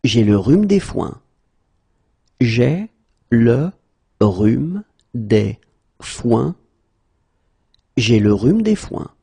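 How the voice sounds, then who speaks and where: Very slow, gravelly male teacher. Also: mid-range voice, sing-song, dark tone, no echo. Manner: slow, repeats each phrase word by word. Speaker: French teacher. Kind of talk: pronunciation drill.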